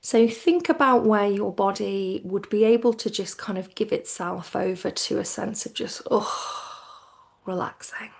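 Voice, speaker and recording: A woman teaching yoga nidra as a guided relaxation, soothing and hushed, with phrases that trail toward a whisper. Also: medium pitch, moderate pace, gravelly, hardly any pauses.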